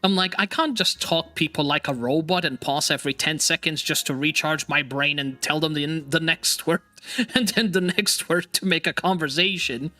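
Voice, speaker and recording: A man hosting a casual chat stream, laughing often and talking in an animated, teasing way; English is not his first language. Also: fairly high pitch, moderate pace. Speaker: streamer host.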